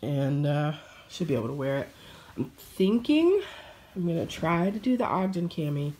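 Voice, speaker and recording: A middle-aged woman chatting casually about her sewing plans, relaxed and pausing with fillers. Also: sits low. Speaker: sewing vlogger.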